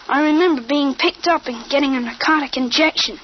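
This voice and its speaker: A high, boyish voice belongs to a thirteen-year-old boy, voiced by a woman, who talks with the measured, matter-of-fact poise of a grown scientist. It is an old radio play with a dull, muffled sound and a faint hiss underneath. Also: lightly acted. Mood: explaining. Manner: calm, articulate, matter-of-fact. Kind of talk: 1950s radio drama acting.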